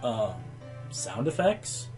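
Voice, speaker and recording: Animated pony radio host, played by an actor. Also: mid-range voice, slow pace, over music. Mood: exasperated. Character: pony radio show host, chirpy but exasperated. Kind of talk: radio play acting.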